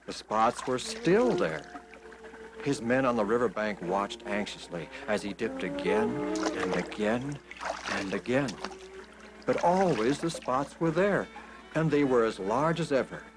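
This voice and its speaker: A male storyteller reads to children with lively, swinging intonation and a mid-range voice. His phrasing is simple and emphatic, and music plays behind him. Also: mid-range voice, unhurried. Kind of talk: dramatic scripted storytelling.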